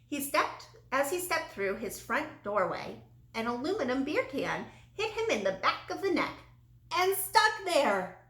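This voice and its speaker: Lady reading kids a story, really expressive, voice up and down a lot, bit echoey. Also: high-pitched, measured pace. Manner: animated, dramatic emphasis, acts out dialogue. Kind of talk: expressive children's storybook read-aloud. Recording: roomy, echoey sound.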